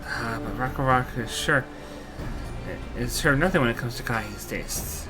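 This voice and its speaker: A man's voice: mid-range voice, normal speed, lightly acted. Guy voicing a little creature helper, broken third-person talk, eager, game music playing. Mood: puzzled. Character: small eager creature helper, speaks of itself by name. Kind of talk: fan voicing of game dialogue.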